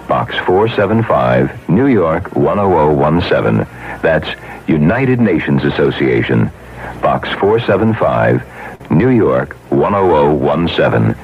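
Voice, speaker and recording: A man announces a scripted radio spot. His voice is extremely low and animated. The recording is muffled with faint noise. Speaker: public service announcer.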